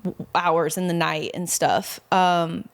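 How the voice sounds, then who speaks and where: A woman with an American accent talks casually as an interview guest. Her voice sits a little low and sounds clean and smooth. She speaks informally and gushes about her subject. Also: steady tone, slow pace. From small-town Indiana, American Midwest. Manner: informal, frequent "like", effusive about life. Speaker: podcast guest, model and content creator. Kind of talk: casual conversational interview answers.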